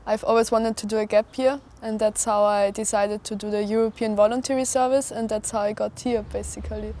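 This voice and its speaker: A young woman from Austria talks matter-of-factly about her gap year. Her delivery is even and flat, with little rise and fall. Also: mid-range voice, fairly quick. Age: young adult. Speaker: volunteer. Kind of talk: casual interview talk.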